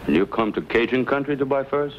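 An actor playing a hostile, suspicious Cajun trapper in an old TV western. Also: male voice, mid-range voice, lively intonation, quick pace, close to an everyday voice. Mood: hostile, menacing. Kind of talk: 1950s TV western acting. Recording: muffled vintage film soundtrack, faint noise.

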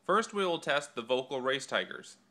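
A young man presenting a scripted product demonstration for his company, explaining each step in a measured way. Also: medium pitch, sing-song, pushed and bright. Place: small indoor workshop. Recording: echoey workshop room.

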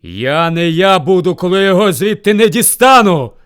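A man's voice: high-pitched, animated, normal speed, fairly bright tone, pitched above the performer's own voice. A man voicing a furious, hungry bear who bullies and threatens as lord of the forest in a folk tale. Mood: enraged. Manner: roaring, threatening, bellowing demands. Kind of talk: animated folk tale storytelling.

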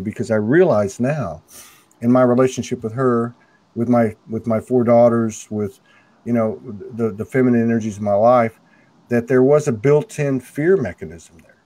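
A middle-aged man with a loud, carrying voice talking earnestly on a video call, full of restarts, through an earphone mic at home. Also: medium pitch, no echo. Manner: earnest, halting, many restarts. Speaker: host. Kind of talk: spiritual video call conversation. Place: home room, indoors. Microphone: earphone headset mic on a video call.

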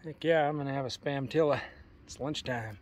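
A middle-aged American man with a folksy, excitable manner, narrating his own backpacking and fishing trip outdoors by a mountain lake, recorded on a handheld camera. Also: medium pitch, fairly quick. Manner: folksy, excitable, says "heck yeah". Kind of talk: casual outdoor vlog narration.

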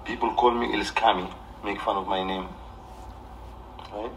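A man in his thirties with an Egyptian accent, ranting off the cuff on a livestream in a defensive, halting, repetitive way. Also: fairly low pitch, steady tone, fairly bright tone. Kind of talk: off-the-cuff livestream rant to camera. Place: small living room indoors. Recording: distant mic with background noise.

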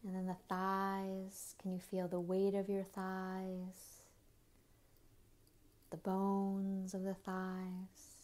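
Very slow, mellow woman guiding meditation. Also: medium pitch, monotone. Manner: gentle, unhurried, with long pauses between short phrases. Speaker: meditation guide. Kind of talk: guided body scan meditation.

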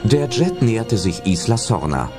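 A man's voice: lowish voice, big pitch swings, normal speed. A man reading scripted narration for a German audio drama. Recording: loud music under the voice.

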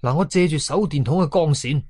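A man's voice: fairly high pitch, brisk, slightly rough, no room sound. A man with a Hong Kong Cantonese accent telling a horror story in a colloquial, dramatic first-person narration. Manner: animated, colloquial.

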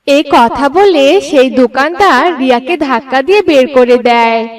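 Lady narrating a kids cartoon story, high voice, slow, bit far from mic.

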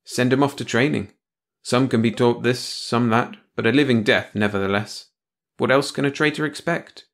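A man's voice: medium pitch, moderate pace, a bit bright, close to an everyday voice. A man voicing a weary, cynical male official who speaks with dry bitterness. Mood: bitter.

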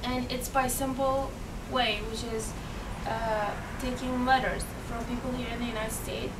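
Young Iraqi woman, English, earnest and halting, slightly high voice; distant camera audio in a noisy, roomy meeting room. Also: level tone. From Iraq. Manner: earnest, halting, many restarts and fillers. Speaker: guest speaker. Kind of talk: unscripted talk to a meeting. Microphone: far camera.

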